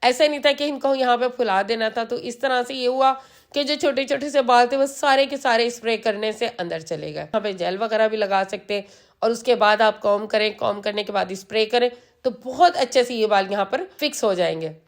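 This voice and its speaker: A hoarse woman, patiently explaining a hairstyle step by step as a salon channel host, repeating the key steps. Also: fairly high pitch, a little fast. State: hoarse from a sore throat. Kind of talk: step-by-step hair styling tutorial.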